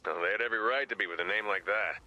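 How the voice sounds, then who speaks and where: An actor playing a dry, sardonic but loyal rock musician in a video game, speaking with wry sarcasm. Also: male voice, on the low side, very fast, pushed and bright. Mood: wry, matter-of-fact.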